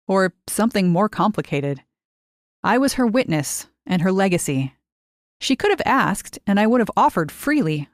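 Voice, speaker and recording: A woman's voice: on the low side, no echo. Woman narrating literary fiction, dry close sound. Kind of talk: literary audiobook narration, first person.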